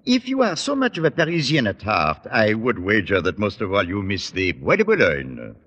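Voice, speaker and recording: An actor playing a courteous, inquisitive Frenchman with a French accent and halting English in an old-time radio comedy. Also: on the low side, wildly swooping pitch, fairly bright tone, exaggerated voice. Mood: courteous, curious. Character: courteous, inquisitive Frenchman, formal and easily delighted. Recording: dull, reverberant old radio recording.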